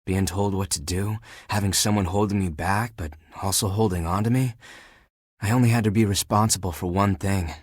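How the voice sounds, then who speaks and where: A living heap of laundry in a dating game, a male flirt with a husky, rough-edged voice. He is theatrical and emotive, all swagger over a sensitive core. The sound is close and dry, with no room around it. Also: low voice, steady tone, moderate pace, no room sound. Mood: guarded, sheepish. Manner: flirtatious, theatrical, emotive, swinging from guarded to grandiose. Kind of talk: dating game character voice acting.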